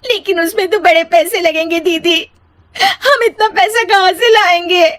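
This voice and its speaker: A woman's voice: extremely high pitch, measured pace, fairly bright tone, voice pushed up. A woman voicing an anxious, tearful young wife in an emotional cartoon story. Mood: tearful, worried. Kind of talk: melodramatic cartoon story acting.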